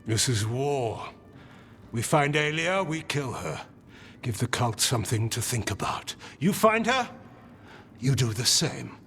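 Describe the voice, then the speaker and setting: A man playing a hard, protective underworld gang member in a video game, blunt, deliberate and menacing. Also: mid-range voice, big pitch swings, a bit bright, faint music behind. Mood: hard resolve.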